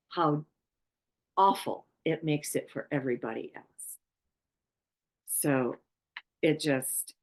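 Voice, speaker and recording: A woman from Poland leads a talk on chakras. Her voice sits low and she takes her time, pausing often and trailing into fillers. She teaches informally and thinks aloud as she goes. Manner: slow, halting, with many pauses and fillers. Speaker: chakra workshop presenter. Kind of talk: unscripted spiritual talk.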